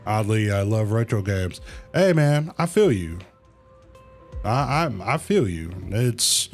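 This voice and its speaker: A man hosts a casual livestream with soft music behind him. His voice sits a little low and he speaks at a slow pace. He thinks aloud as he answers his viewers, often stopping and repeating the start of a phrase. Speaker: livestream host.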